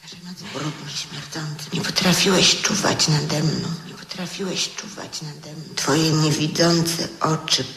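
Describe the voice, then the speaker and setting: An actress playing the remembered voice of a hurt, reproachful wife in a radio drama, incanting and repeating each phrase. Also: female voice, sits low, fairly even intonation, measured pace, gravelly, hardly any pauses, a bit bright, heavily acted. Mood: reproachful, despairing. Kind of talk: 1970s Polish radio drama acting. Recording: echoing radio drama voice effect.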